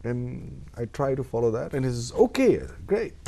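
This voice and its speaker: A man's voice: medium pitch, moderate pace, gravelly. A middle-aged Indian man, an actor, talking thoughtfully in a radio studio interview with an Indian English accent. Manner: thoughtful, animated, acts out little quoted exchanges. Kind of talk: reflective interview talk.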